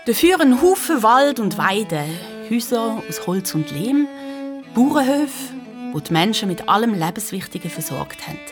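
A woman's voice: fairly high pitch, big pitch swings, normal speed, music in the background. A woman narrating a children's radio play with a Swiss German accent, explaining things like an engaging storyteller.

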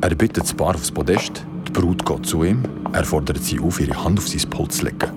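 A man's voice: extremely low pitch, brisk, over loud music. An actor playing a casual, slangy young man recounting a wedding in a radio drama. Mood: shocked. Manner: slangy, easily amazed, casual. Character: Besim, casual young male wedding guest recounting a horror. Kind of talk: colloquial radio drama storytelling.

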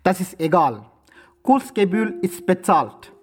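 An actor playing a solemn martial arts master who speaks in clipped, broken proverbs in a German radio crime comedy. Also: male voice, high voice, sing-song, takes it slowly, full character voice. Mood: stern, solemn. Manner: sententious, clipped broken grammar.

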